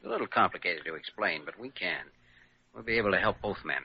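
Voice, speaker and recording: An earnest young doctor in a 1940s radio drama, very low in pitch but lively in inflection. His humor is dry and gentle, heard on a dull, faintly noisy old recording. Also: fast talker, vocal fry.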